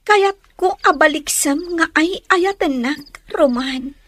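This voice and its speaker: Actress as a very high-pitched, clingy young Ilocano woman. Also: slow pace, a bit bright. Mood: pleading. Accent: Filipino, Ilocano. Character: well-off young city woman, eager and clingy in love. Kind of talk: Ilocano radio drama acting.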